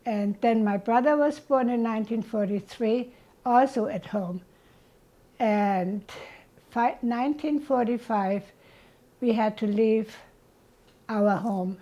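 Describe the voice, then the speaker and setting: A woman, telling her childhood story as an interviewee in a slow, reflective way. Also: on the high side, dark tone, dull audio. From Germany. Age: 80s. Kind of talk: reminiscing about childhood.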